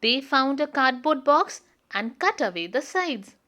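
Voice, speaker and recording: Female children's storyteller: high voice, slow deliberate read-aloud, expressive.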